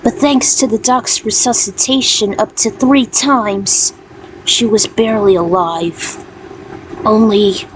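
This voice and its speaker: Slow-paced woman reading, noisy recording. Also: medium pitch. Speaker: fan fiction author reading own story. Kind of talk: story narration and author's notes. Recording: clearly noisy background.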